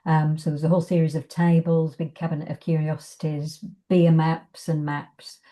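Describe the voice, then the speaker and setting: Low, mellow woman chatting casually. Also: level tone, normal speed. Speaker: arts organisation member describing past projects. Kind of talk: casual conversational explanation. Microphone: video call.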